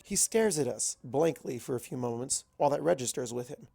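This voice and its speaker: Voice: mid-range voice, lively intonation, fairly quick, gravelly, warm tone. A narrator reading a scripted story aloud in the first person. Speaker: audiobook-style reader.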